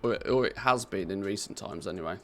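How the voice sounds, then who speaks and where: A man's voice: low-pitched, fast talker. A British man chatting casually on a live game stream, reading out and answering viewers' questions with easy laughter. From Britain.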